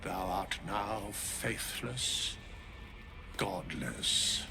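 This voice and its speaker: A man's voice: sits low, lively intonation, extremely slow, gravelly, exaggerated voice. A man playing an ancient, solemn undead scribe in a fantasy game, with stately, portentous, archaic theatrical delivery. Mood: grave, portentous.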